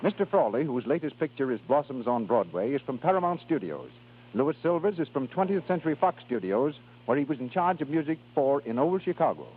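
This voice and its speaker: A man's voice: mid-range voice, breathy edge. A man working as a 1930s radio announcer, formally reading scripted credits. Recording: tinny, narrow-band 1930s radio broadcast.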